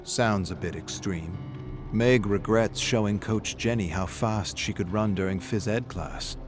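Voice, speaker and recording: A man's voice: on the low side, a little slow. Dramatic male game-lore narrator over music. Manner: dramatic, expressive storytelling. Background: background music.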